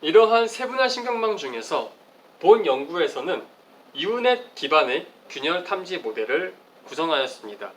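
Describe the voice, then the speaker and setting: A man's voice: fairly high pitch, sing-song, moderate pace. A man delivers a formal academic presentation as a research presenter, explaining phrase by phrase. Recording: distant mic, faint background noise.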